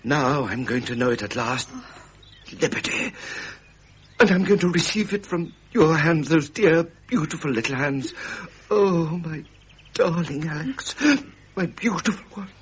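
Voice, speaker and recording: An actor playing a frustrated young prince in a period radio drama, with an upper-class English accent and an impassioned, theatrical manner. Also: male voice, on the high side, animated, unhurried, husky. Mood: ardent. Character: frustrated young British prince, ardent and indignant.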